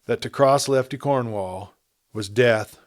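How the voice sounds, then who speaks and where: A man reading a western story as a storyteller, in a measured, dramatic narration with emphatic swells and phrases that trail off. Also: mid-range voice.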